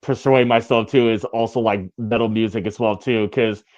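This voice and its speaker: A man with a deep, raspy voice, a rapper guest chatting casually in an interview, laid-back and laughing often. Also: steady tone, normal speed, darkish tone, dull audio. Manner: laid-back, laughs often, fillers like "you know what I mean".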